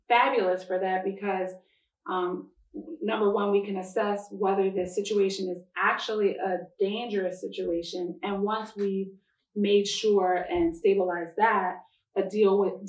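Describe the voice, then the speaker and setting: A woman county prosecutor giving formal remarks at a public announcement in a measured, deliberate, official way. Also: medium pitch, fairly even intonation. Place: church interior. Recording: echoey room, distant microphone.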